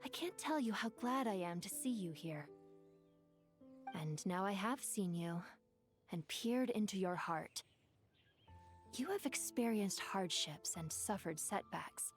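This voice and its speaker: A grand, ancient djinn woman for a video game. She speaks formally and eloquently, with a wistful, devoted air and theatrical phrasing. Music plays behind her voice. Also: mid-range voice.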